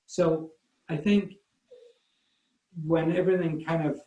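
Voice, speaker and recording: A man's voice: a little high, fairly even intonation, slow, dark tone. A man from Scotland with a Scottish accent, a guest photographer telling rambling, self-deprecating stories in a casual online talk. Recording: roomy echo.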